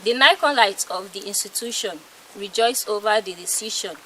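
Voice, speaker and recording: A woman's voice: mid-range voice, moderate pace, crowd noise, rough recording. A young adult woman with a Nigerian accent reading a scripted news report as a student reporter.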